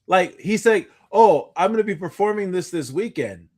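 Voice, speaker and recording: Animated, rambling man chatting casually. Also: high-pitched, normal speed. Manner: animated, rambling, stumbling over repeated starts. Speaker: podcast co-host. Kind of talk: casual chat, recounting anecdotes.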